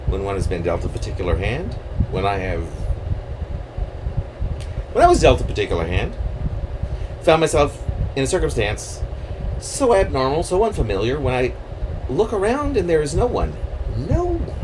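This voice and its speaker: A man reading his own poem aloud in a theatrical, halting manner with swooping emphasis. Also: medium pitch, wildly swooping pitch, background noise. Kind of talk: dramatic poetry reading.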